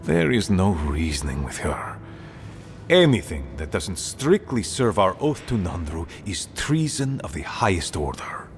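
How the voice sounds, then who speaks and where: An actor playing an aging dwarf, a weary, pragmatic conspirator speaking gravely and earnestly in a fantasy game. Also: male voice, deep voice, very animated, measured pace, faint music behind. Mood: grave, resentful. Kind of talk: dramatic game dialogue.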